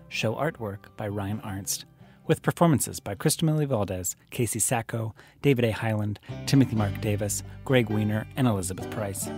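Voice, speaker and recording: A man reading scripted credits as an announcer. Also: lowish voice. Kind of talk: scripted narration. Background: guitar music.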